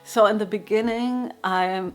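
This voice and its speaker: A woman's voice: mid-range voice, fairly even intonation, measured pace, faint music behind, low-quality recording. German-accented woman musing candidly. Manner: thoughtful, candid, self-deprecating, chuckles now and then. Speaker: jazz guitar teacher and YouTuber. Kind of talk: reflective casual monologue. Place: her home room.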